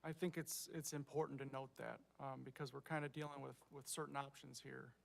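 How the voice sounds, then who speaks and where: An American man speaking as a city council member in a public meeting, making measured, argumentative points with emphasis. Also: medium pitch, little pitch movement, vocal fry. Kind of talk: deliberative meeting remarks.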